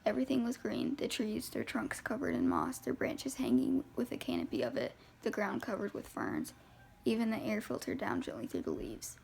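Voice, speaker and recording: Very creaky woman reading a novel aloud. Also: a little high, wildly swooping pitch, moderate pace, a little mellow, slight background noise. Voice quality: creaky voice with vocal fry. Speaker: amateur audiobook reader. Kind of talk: scripted first-person novel narration, read aloud.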